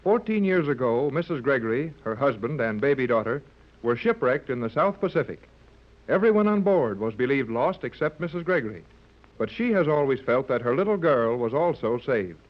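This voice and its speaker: A man's voice: mid-range voice, very animated, moderate pace. A man narrating a scripted 1930s radio serial as its announcer. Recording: dull lo-fi 1930s radio recording.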